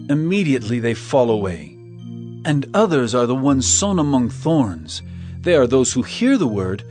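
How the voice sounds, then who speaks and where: A man playing an authoritative teacher preaching parables in a dramatized scripture reading, speaking with deliberate emphasis. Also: fairly high pitch, a little mellow. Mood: earnest, explaining. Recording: music behind the voice.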